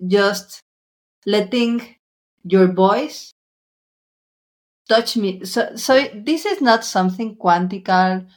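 A woman's voice: mid-range voice, takes it slowly, long pauses. A woman hosting a podcast, speaking in a reflective, affirming way. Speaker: podcast host. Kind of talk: casual podcast conversation.

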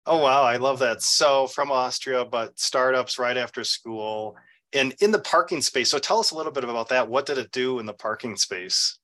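A man's voice: medium pitch, moderate pace, sharp tone. A man hosting a podcast, interviewing a guest in a conversational style.

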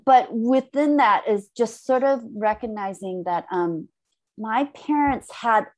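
A woman born in Korea, speaking in a reflective conversation with thoughtful, halting phrasing and frequent restarts. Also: on the high side.

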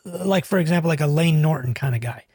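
A young man with a nasal, raspy voice, hosting a casual interview as a doctor. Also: a little high, quick pace, a little mellow, no echo, studio quality. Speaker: podcast host, doctor.

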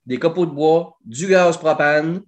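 A man in his forties with a Québécois French accent, a guest talking about his barbecue company in a casual interview, fluent and lively with plenty of hesitations. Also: a little high, measured pace. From Quebec. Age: 40s. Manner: animated, fluent, frequent "euh" and hesitations.

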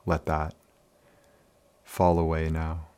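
A man leading a guided meditation in a calm, soothing, unhurried manner with many pauses. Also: a bass voice, smooth.

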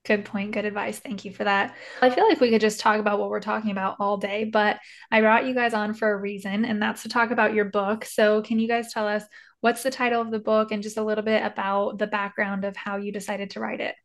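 A woman hosting a podcast interview, who affirms her guests calmly and softly. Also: medium pitch, brisk. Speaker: podcast host.

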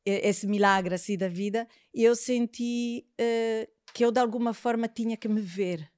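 A woman's voice: on the high side, steady tone, measured pace, a little mellow, dry recording, studio quality. A woman in her forties with an Italian accent, a parenting therapist talking reflectively as an interview guest. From Italy. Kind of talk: casual interview.

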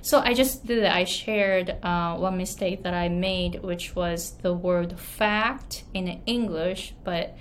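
Japanese-accented woman coach, unhurried friendly storytelling, frequent laughs. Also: on the low side, slight background noise. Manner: laughs often, many fillers and restarts. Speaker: English pronunciation coach. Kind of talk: casual talk, storytelling tips.

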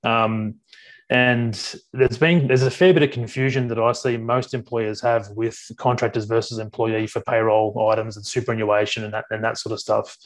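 Australian man, forties, flat delivery, halting, many restarts. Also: mid-range voice, poor audio. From Australia, Sydney. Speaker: podcast guest, workplace advisor. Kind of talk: casual interview talk.

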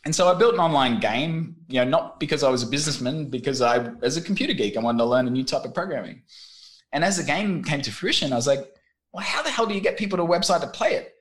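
Australian man, thirties, guest on a casual podcast, lively storyteller who slips into quick impressions. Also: fairly high pitch. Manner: animated storyteller, acts out voices in anecdotes.

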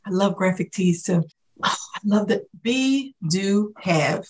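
A woman's voice: mid-range voice, expressive, takes it slowly, breathy edge. Enthusiastic woman coach chatting. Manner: enthusiastic, repeats "right?" often. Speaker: podcast guest, business coach. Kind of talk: casual podcast chat.

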